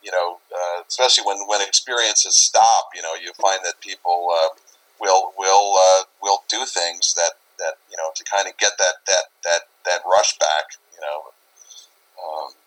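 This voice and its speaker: Casting: male interview guest, lowish voice, conversational, loose and repetitive phrasing. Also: fairly even intonation, measured pace, a bit bright, poor audio. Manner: rambling, repeats phrases, often says "you know". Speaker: interview guest, paranormal investigator.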